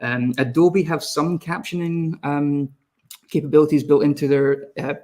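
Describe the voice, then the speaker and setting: A young adult man with a Scottish accent, a video team lead talking quickly as he presents a live online webinar and speaks to viewers in the chat. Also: a little high, steady tone, darkish tone.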